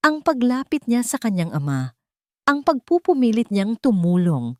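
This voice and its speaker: A woman narrating a scripted dramatic story in deliberate, suspenseful short phrases. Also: fairly high pitch, sing-song, no room sound, studio quality.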